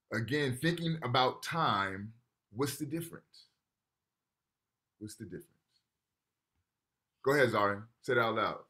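A man's voice: medium pitch, bright, forceful tone. A man teaching a university class over a video call, talking casually and often checking in with "right?" while reading texts aloud. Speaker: lecturer.

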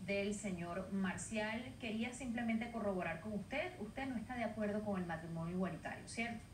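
A woman's voice: mid-range voice, moderate pace. A woman news anchor in a TV studio, poised, asking long probing questions. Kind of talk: TV news interview. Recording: roomy, distant, faint noise, played off a TV.

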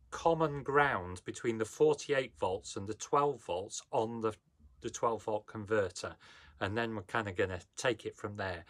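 Man, 50s, boat vlogger, slow easygoing delivery, mid-pitched, lots of ums, phone-camera sound in a cramped cabin. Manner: relaxed, hesitant, many fillers. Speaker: sailing vlogger. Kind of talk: casual how-to vlog. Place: small boat cabin, indoors. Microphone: handheld phone camera.

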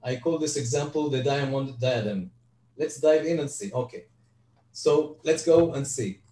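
An older Hebrew-speaking man, giving an online spreadsheet lecture from home over a headset, halting with frequent restarts. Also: medium pitch, warm tone. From Israel. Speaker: guest presenter. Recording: echoey, distant video call audio.

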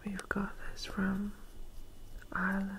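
A woman doing ASMR in a breathy whisper, soothing and repeating short phrases softly. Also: mid-range voice, completely flat delivery, takes it slowly, slight background noise. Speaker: ASMR host. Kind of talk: ASMR relaxation.

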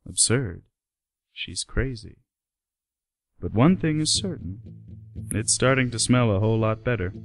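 Low-voiced male narrator. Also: no room sound. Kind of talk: scripted radio play narration.

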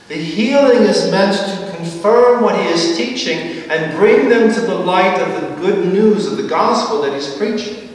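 A middle-aged man preaching a sermon from a church pulpit, explaining at an easy pace with slow, emphatic phrases and a dry touch of humor. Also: high-pitched. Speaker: priest. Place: large church sanctuary, at a pulpit. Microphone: far camera. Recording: echoey church from far off.

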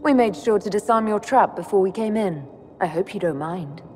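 A woman's voice: medium pitch, animated, moderate pace, a little mellow, lightly acted. An actress playing a wry, cool-headed young elf woman envoy in a video game, dry and self-possessed. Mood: defiant. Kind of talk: video game cutscene acting. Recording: long hall reverb, music underneath.

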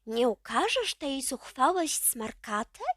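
A spiteful witch aunt out for punishment, acted by a woman narrator. She is shrill, loud and scolding, a high indignant storybook villain with a very dry sound. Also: sing-song, slow, dry recording, heavily acted.

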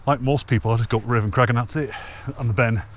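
A man's voice: mid-range voice, steady tone, fast talker, a little hiss or hum. A man in his thirties narrating a hike outdoors, chatty and enthusiastic, filming himself at arm's length on a handheld camera. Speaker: hiking vlogger. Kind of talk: casual walking commentary. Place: outdoors on open fells and woodland paths.

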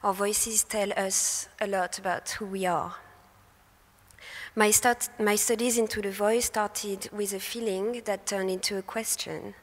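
A young woman from France with a French accent, giving a prepared talk into a handheld mic from a lectern. Her voice is soft and airy, and she hesitates and corrects herself now and then. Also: mid-range voice, steady tone, unhurried. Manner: hesitant, with fillers and self-corrections. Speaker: speaker presenting research. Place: festival talk stage in a large hall. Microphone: handheld microphone at a lectern. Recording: long hall reverb.

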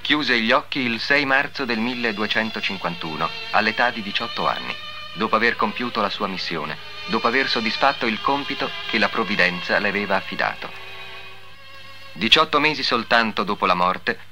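A man's voice: on the low side, expressive, fairly quick. A man narrating in a formal, declamatory 1950s devotional documentary style, with solemn delivery. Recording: old 1950s soundtrack, music behind.

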